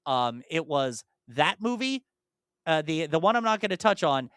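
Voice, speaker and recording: A young man co-hosts a casual podcast, talking eagerly and circling back through his thoughts. His voice is bright and crisp, a little higher than most men's. The sound is close and dry. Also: sing-song, normal speed, no echo. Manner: enthusiastic, rambling with restarts. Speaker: podcast co-host. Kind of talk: casual chat.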